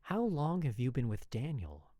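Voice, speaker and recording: A performer voicing a gentle, persistent older gentleman with a soft, melodic voice in an audio story. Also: mid-range voice, sing-song, moderate pace, no room sound, studio quality, close to an everyday voice. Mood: probing, gentle.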